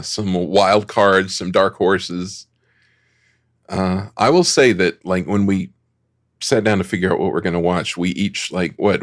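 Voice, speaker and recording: A man chatting casually as a podcast panelist, haltingly thinking aloud. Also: low voice, creaky, no echo, studio quality.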